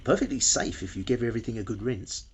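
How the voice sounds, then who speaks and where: A man's voice: lowish voice, steady tone, fast, a little hiss or hum, natural voice. An actor with a British accent playing a self-satisfied, penny-pinching money-saving vlogger who chats matter-of-factly to his viewers in a radio play. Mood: upbeat, chatty. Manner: chatty, matter-of-fact, addressing viewers. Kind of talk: radio play monologue as a vlog.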